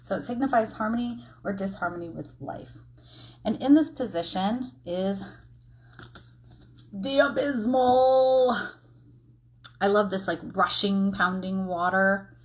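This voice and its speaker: A woman's voice: mid-range voice, fairly even intonation, normal speed, a bit bright. Female oracle reader, mid-range voice, informal and explanatory, encouraging conversational delivery. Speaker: tarot and oracle card reader. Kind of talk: casual explanatory card reading to camera.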